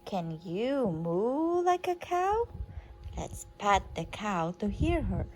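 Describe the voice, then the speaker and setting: A woman's voice: medium pitch, sing-song, slow pace, a little hiss or hum. A woman reading a children's book aloud as a mother to a young child, in a sing-song, coaxing way with simple questions. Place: at home.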